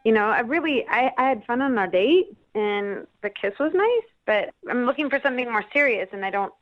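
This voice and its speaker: A woman's voice: on the high side, animated, a little fast, dull audio. A woman chatting casually as a radio show guest, hedging as she goes with a giggly, hesitant manner. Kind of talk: casual phone chat.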